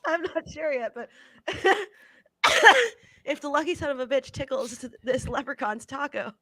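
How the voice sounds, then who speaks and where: Female co-host, thirties, higher voice, playful and giggly, nursing a cough, dry home mic. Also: moderate pace, no room sound. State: has a cough. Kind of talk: casual live-stream chat. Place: room at home. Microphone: handheld mic.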